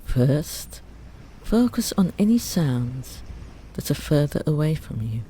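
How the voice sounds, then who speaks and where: A meditation teacher gently guiding a Yoga Nidra relaxation, soothing and unhurried, with long pauses between phrases. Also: mid-range voice. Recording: steady ambient noise under the voice. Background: ocean waves.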